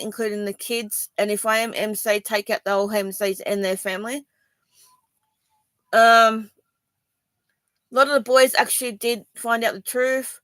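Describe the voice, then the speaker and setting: A woman's voice: on the high side, a bit bright, no echo. Rambling defensive Australian woman. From Sydney, Australia. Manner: rambling and defensive, many ums and restarts. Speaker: vlogger. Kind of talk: personal video statement to viewers.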